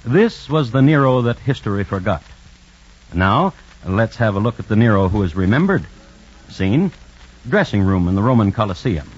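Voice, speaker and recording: A wry connoisseur of crime narrating an old radio show. The low voice moves expressively through dry, measured storytelling, on a muffled vintage recording. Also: male voice, very animated, breathy edge. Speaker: host and narrator.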